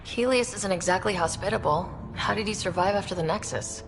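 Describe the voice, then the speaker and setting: A woman's voice: mid-range voice, brisk, gravelly, long reverb tail, music in the background, natural voice. A woman playing a composed young explorer hero in a video game, direct and dry in delivery. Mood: firm, challenging. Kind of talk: video game cutscene acting.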